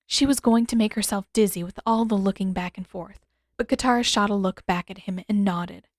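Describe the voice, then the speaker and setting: A woman's voice: mid-range voice, fairly even intonation, brisk, no echo. Woman narrating fiction in a dry recording. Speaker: narrator and author.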